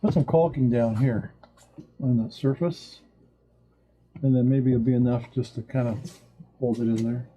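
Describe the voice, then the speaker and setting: A man's voice: medium pitch, fairly even intonation, a little slow, long pauses, mellow, husky. A man thinking aloud as he works through a boat repair, in casual DIY narration. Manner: thinking aloud while working. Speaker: boat owner doing a repair. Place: on a small boat.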